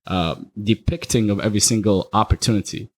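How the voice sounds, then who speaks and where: A man's voice: lowish voice, brisk. A young man from Iraq, talking candidly and rambling a little as a podcast guest. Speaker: guest, party promoter. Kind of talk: casual podcast chat.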